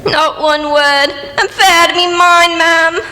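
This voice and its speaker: A woman's voice: very high voice, steady tone, slow, bright, forceful tone, slight background noise, exaggerated voice. A woman playing a poor old village mother, anxious and pleading, with folksy, deferential speech in a radio play. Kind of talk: amateur radio play acting. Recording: echoey hall reverb.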